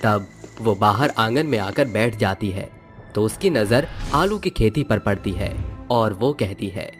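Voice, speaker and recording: A man reads scripted narration for a cartoon story. His pitch is lowish but moves a lot, giving an animated lilt. He poses questions to draw the listener on. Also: unhurried, faint music behind.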